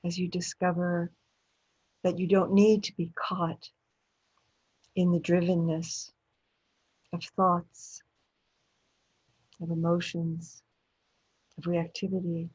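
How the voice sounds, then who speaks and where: A woman meditation teacher leading a guided meditation in gentle short phrases with long pauses between them. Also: lowish voice, little pitch movement, husky. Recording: dull, muffled lo-fi audio.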